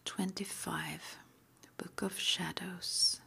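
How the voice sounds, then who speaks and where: A woman performs an ASMR roleplay entirely in a breathy whisper. Her delivery is very slow and gentle, with a lowish pitch and a crackle of creak in it. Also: flat delivery. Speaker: ASMR roleplay performer playing a witch school interviewer.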